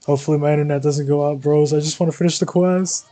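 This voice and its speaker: A man's voice: on the high side, steady tone, fast talker, mellow. A man reacting casually on a game livestream, laid-back and slangy in his delivery. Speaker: game streamer.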